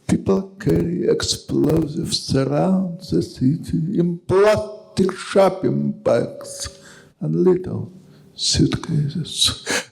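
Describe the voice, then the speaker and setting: A man who speaks with a heavy accent, slowly reading an English translation of a poem at a live reading. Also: on the high side, vocal fry, darkish tone, low-quality recording. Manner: heavy accent, slow deliberate delivery. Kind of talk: poetry reading. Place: gallery reading event.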